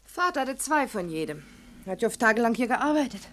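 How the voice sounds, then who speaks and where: An actress playing a young woman in a German radio play, brisk, matter-of-fact and earnest as she explains. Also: fairly high pitch, big pitch swings, fairly bright tone, a little hiss or hum. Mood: brisk guiding. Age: young adult. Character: brisk, earnest young woman, a doctor's daughter acting as guide.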